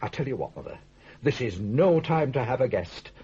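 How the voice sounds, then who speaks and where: A glum, aggrieved Northern English caterer in a 1980s radio drama. His very husky voice rises and falls in animated complaint. The broadcast sound is somewhat muffled. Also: mid-range voice, moderate pace. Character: gloomy, grumbling Northern English son, a caterer.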